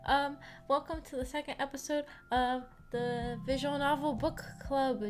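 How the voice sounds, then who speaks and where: A woman with a fairly high voice hosts a relaxed livestream. She talks informally to her viewers, giggly and rambling, often restarting her thoughts. Faint music plays behind her. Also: normal speed. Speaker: livestream host of a visual novel book club. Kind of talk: casual livestream chat with viewers. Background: background music.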